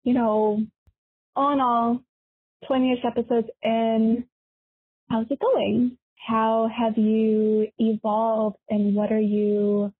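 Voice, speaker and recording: A woman's voice: on the high side, breathy edge, no echo. A woman calling in with a long string of curious, earnest questions for a podcast host, recorded as a voicemail. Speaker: caller leaving a voicemail question. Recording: dry tinny phone line.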